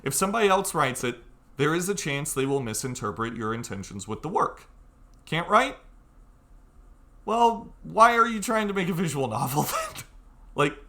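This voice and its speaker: Young guy doing a casual tutorial, kinda high bright voice, blunt and pushy about his advice. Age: young adult. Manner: blunt, exhorting, emphatic. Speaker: tutorial presenter. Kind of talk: informal video tutorial, casual talk to camera.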